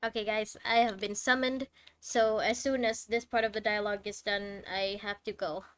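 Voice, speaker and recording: A woman's voice: mid-range voice, little pitch movement, moderate pace, clean tone. A woman giving casual, wry, reactive commentary as she plays a video game live. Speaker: game streamer. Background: faint game music.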